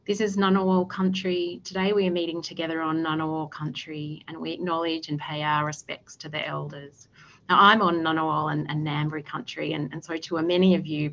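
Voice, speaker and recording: A woman in her thirties with an Australian accent, giving earnest, measured panel remarks over a video call, with occasional fillers. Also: low voice, vocal fry, fairly bright tone, lo-fi sound, slight background noise. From Australia, Western Sydney. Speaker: panelist, government official. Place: indoor office. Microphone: video call webcam.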